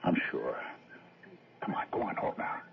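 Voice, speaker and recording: A man acting a troubled husband in a radio drama, halting and subdued but gently insistent. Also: soft background music, lightly acted. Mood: uneasy, insistent. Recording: muffled old radio recording.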